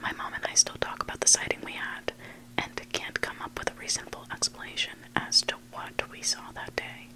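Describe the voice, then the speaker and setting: A person reading stories aloud in a breathy, hushed ASMR whisper, close to the mic. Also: fairly quick, poor audio. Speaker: host reading listener-submitted stories. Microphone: close mic, made for headphones.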